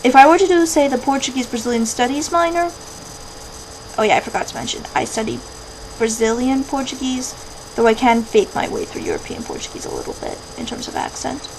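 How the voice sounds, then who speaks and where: A woman's voice: high voice, sing-song, a little fast. A woman in her twenties with an American accent, chatting casually to camera as a vlogger and rambling into asides. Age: 20s. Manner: rambling, self-interrupting asides. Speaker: vlogger answering viewer questions. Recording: clearly noisy background hiss and ambience.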